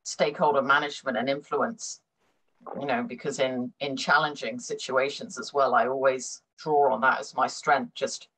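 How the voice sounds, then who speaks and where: A middle-aged woman from Hong Kong speaks in a low, deep voice. She talks through a remote call as an interview guest, and often stalls on fillers and repeated words while she gathers her thoughts. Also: steady tone, normal speed, a bit bright, husky, poor audio. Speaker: business consultant, guest. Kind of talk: conversational interview.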